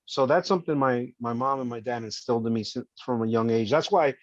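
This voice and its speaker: A man's voice: medium pitch, fairly quick, no echo, highs cut off. A man in his fifties, born in Colombia and raised in New Jersey, telling his story as a podcast guest in an earnest, heartfelt way. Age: 50s. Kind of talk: casual interview storytelling.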